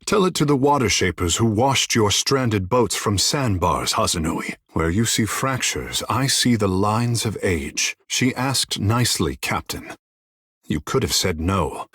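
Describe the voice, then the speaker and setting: A man's voice: mid-range voice, breathy edge, dry recording, clean studio sound, exaggerated voice. An actor playing a vain, theatrical, flirtatious godlike fish-man in a fantasy video game, speaking in lofty, formal phrases. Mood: wry, reproachful. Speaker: player's companion character.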